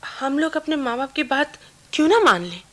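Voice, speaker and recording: A young woman acting in a Hindi radio play as an excitable bride-to-be, chatting girlishly and dramatically with her best friend. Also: sits high, moderate pace. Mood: coy, wistful. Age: young adult.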